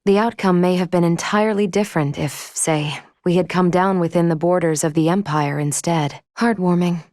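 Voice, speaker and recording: Woman doing a polite analytical android lady from a video game, lowish voice, formal and exact, dry clean sound. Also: darkish tone, no echo, close to an everyday voice. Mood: formal, measured. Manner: formal, precise, measured, matter-of-fact. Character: calm, logical female android crew member, polite and analytical. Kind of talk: video game character voice acting.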